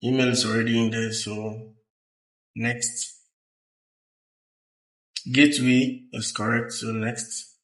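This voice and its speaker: A man presenting a hands-on technical tutorial, explaining step by step in a halting way. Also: medium pitch, level tone, a bit bright. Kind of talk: hands-on instructional walkthrough. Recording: roomy echo, uneven distance from mic.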